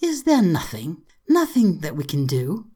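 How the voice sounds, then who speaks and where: A woman's voice: fairly low pitch, wildly swooping pitch, unhurried, mellow, breathy edge, full character voice. A woman voicing a small, anxious English man in his twenties in an audiobook, earnest in manner. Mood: helpless. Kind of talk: audiobook character dialogue.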